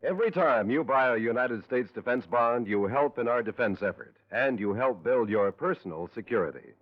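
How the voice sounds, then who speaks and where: Mid-pitched vintage radio announcer man over music. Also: lively intonation, moderate pace. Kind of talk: 1950s radio announcing, scripted promo. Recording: dull lo-fi old radio broadcast.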